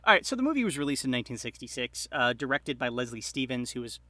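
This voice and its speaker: A man with a bright, forward voice in a very dry, close recording. He tells a story conversationally as a guest, circling and hedging as he works his way through it. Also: medium pitch, sing-song, no echo. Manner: halting, rambling delivery full of hedges.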